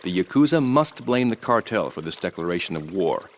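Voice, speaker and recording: Smooth rich tycoon, calm and polished, deep low voice, faint noise. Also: male voice, a little fast. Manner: calm, polished, dryly ironic. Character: suave, scheming rich male property tycoon.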